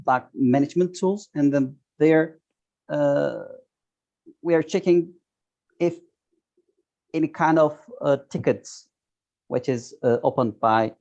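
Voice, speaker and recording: A man in his thirties with a Turkish accent, a guest on a video call interview, talking haltingly and searching for words. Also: a little high, a little mellow. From Turkey. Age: 30s. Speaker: guest, software tester. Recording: dull lo-fi video call audio.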